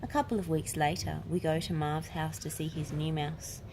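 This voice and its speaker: Low-pitched woman reading a storybook, noisy background. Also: steady tone, moderate pace. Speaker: narrator. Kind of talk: children's storybook read-aloud. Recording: clear background noise.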